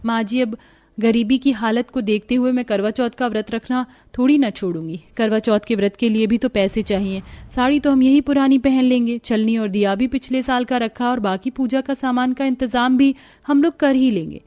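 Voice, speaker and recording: A woman's voice: on the high side, moderate pace, warm tone, close to an everyday voice. Woman as plaintive, poor young daughter-in-law. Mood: worried.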